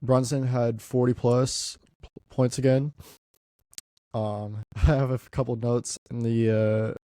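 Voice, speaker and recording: A man's voice: medium pitch, flat delivery, dark tone, dry recording. Stuffy-voiced young man talking basketball. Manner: halting, repeats words often. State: congested, stuffy. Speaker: podcast host. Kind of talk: casual basketball commentary.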